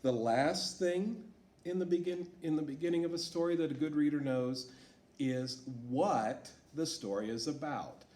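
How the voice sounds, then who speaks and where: A man reading a storybook aloud to children as a teacher, asking them questions in an encouraging way. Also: a little high. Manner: slow, lively, encouraging teacher talk. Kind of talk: read-aloud lesson for children. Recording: echoey room.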